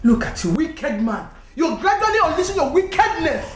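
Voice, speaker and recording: A woman's voice: high-pitched, sing-song, moderate pace, a bit bright, a little hiss or hum. A woman with an Igbo Nigerian accent playing an indignant middle-aged wife and mother in a film drama, emphatic and accusing. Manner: emphatic, accusing, repeats herself. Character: indignant wife and mother defending her husband's land.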